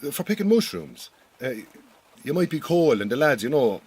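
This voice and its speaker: An actor playing an awkward, well-meaning Irish farm boss in a radio drama, with an Irish accent and a blunt but stumbling way of talking. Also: male voice, fairly high pitch, sing-song, normal speed, a little mellow, breathy edge. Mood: awkward, friendly.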